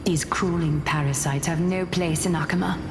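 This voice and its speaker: A woman's voice: fairly low pitch, fairly even intonation, moderate pace, close to an everyday voice. A woman playing a haughty noble lady in a fantasy game, cold and imperious. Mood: cold disdain. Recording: long hall reverb under music.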